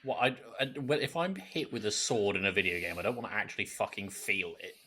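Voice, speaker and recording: A man's voice: on the low side, lively intonation, a little fast, sharp tone, slightly rough. A man co-hosting a podcast, arguing casually in a blunt, contrarian way. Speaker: podcast co-host. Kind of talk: casual debate.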